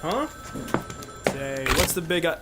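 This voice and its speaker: A man's voice: on the high side, lively intonation, takes it slowly, heavy background noise. A man playing an overworked, modest new homicide detective in a noir radio drama, speaking in a hesitant, friendly, self-effacing way. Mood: surprised.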